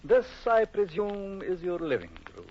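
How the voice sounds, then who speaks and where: A man acting a suave, jealous doctor in old radio horror, courteous and insinuating, with deliberate, menacing pauses. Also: sits high, very animated, a little mellow, heavily acted. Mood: smoothly probing. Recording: muffled old radio broadcast, faint hiss.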